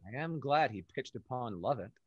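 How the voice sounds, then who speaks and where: An actor playing a vain, cynical young bachelor rake in a Restoration stage comedy, haughty and dry, over a video call. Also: male voice, medium pitch, animated, normal speed, slightly rough, no room sound, lo-fi sound. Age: young adult.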